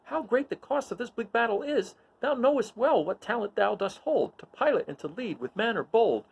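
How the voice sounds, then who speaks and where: A man's voice: very high-pitched, lively intonation, normal speed, creaky, breathy edge, highs cut off, pitched above the performer's own voice, exaggerated voice. A man acts out a young rebel pilot with a fiery, idealistic heart. The delivery is earnest and declamatory, raised above everyday talk, in the grand style of a Shakespearean stage reading. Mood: pleading.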